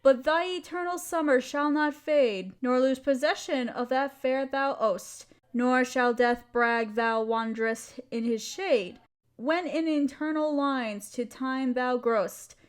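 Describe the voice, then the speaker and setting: A woman's voice: sits high, a little slow. A woman playing a playful, affectionate wife who loves poetry, theatrical and teasing, in a romantic audio roleplay. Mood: tender reciting.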